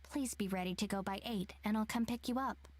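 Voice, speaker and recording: A woman's voice: mid-range voice, fairly quick, no echo, natural voice. A woman playing an apologetic, polite young woman in visual novel game dialogue. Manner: polite, considerate.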